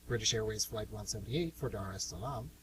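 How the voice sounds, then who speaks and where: A man's voice: on the low side, fairly quick, a bit bright, natural voice. A man acting a formal airport announcer in a radio play, heard at a distance from the microphone. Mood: formal, impersonal. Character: formal airport announcer calling flights and passengers. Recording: distant mic with light hiss and hum.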